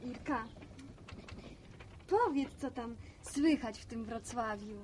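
A curious, plain-spoken farm woman in a village radio drama. Her voice is high and chatty, with clear farmyard noise behind her. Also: slow, a little mellow. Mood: wistful, curious. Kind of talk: Polish radio drama acting.

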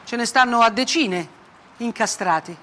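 A man's voice: extremely high pitch, moderate pace, sharp tone, slight background noise, pitched above the performer's own voice. A woman performer voicing a hard, self-righteous male army colonel, blunt and accusatory, in a dramatic stage monologue. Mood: cold, boastful. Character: hard, defiant Russian army colonel in Chechnya. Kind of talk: dramatic monologue radio theatre.

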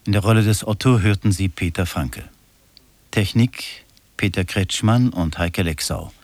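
Male announcer, deep voice, slow, dry sound, formal credits. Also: no room sound. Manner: measured, formal.

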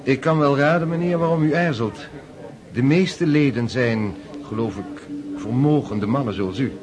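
A man's voice: mid-range voice, moderate pace, a crowd in the background, close to an everyday voice. A man acting in a radio drama as an ingratiating young officer, polite and deferential. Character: smooth, ingratiating young lieutenant courting favour.

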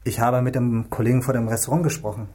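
A man's voice: medium pitch, steady tone, quick pace, mellow, close to an everyday voice. A man playing a curious, cheeky helper in a police investigation, casual and wry, in a German radio crime drama. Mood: tentative, explaining.

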